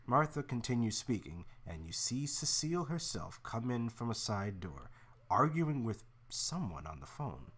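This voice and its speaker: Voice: mid-range voice, normal speed, breathy edge. A reader narrating a story game aloud with a friend, full of jokes and stammered asides. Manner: animated, joking, stammering asides.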